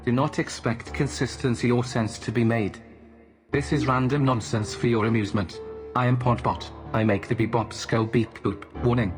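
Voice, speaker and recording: A man voicing a male robot announcer who reads a show's warnings in a flat, deadpan way, with music behind. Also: mid-range voice, moderate pace, natural voice. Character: male podcast robot announcer, dry and matter-of-fact. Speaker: show announcer. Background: instrumental music.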